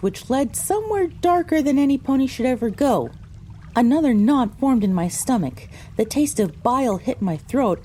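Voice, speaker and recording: A woman's voice: on the high side, dark tone, slight background noise, natural voice. A woman narrating an audio drama in the first person as a frightened young female pony recounting her misadventure.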